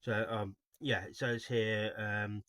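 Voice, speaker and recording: Guy w a lowish voice doing an off the cuff video, rambly and halting, keeps correcting himself, dry sound. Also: little pitch movement, a bit bright, no room sound. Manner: halting, rambling delivery with frequent self-corrections. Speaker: host of a video series on album cover art. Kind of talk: informal unscripted video commentary.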